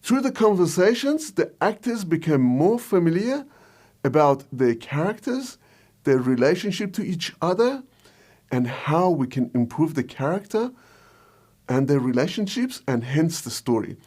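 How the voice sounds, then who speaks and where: Higher-pitched man with lively intonation. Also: measured pace. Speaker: video host, short film director. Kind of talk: scripted explainer narration.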